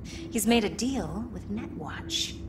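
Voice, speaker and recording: An actress playing a sultry, manipulative woman fixer in a video game cutscene, speaking slowly and teasingly in a low, breathy, often whispered voice. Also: expressive, background noise. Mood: sultry, cool. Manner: slow, teasing, deliberate. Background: music.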